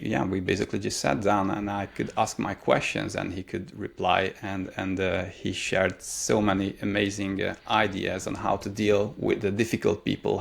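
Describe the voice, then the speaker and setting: Gravelly Hungarian-accented man, low voice. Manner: thoughtful, many hesitations and fillers. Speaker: guest, career mentor. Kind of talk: casual interview answers.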